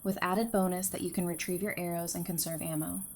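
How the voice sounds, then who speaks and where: A woman's voice: fairly low pitch, flat delivery, fairly quick, a little mellow, a little hiss or hum, lightly acted. A woman playing a frank, curious survivor in an audio drama, with a wry conversational manner. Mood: friendly. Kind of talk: fan audio drama acting.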